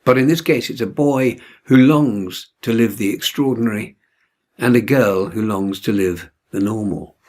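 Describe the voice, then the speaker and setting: A man reading a novel aloud as dramatic literary narration, expressive and measured. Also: fairly low pitch. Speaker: audiobook reader.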